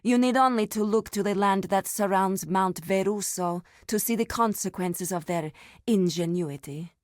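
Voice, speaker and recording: An actress voicing a solemn druidic keeper of a sacred grove in a video game, speaking in a measured, formal, grave manner. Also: female voice, medium pitch, a little mellow, dry recording, clean studio sound, natural voice. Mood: instructive, solemn. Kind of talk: scripted game dialogue.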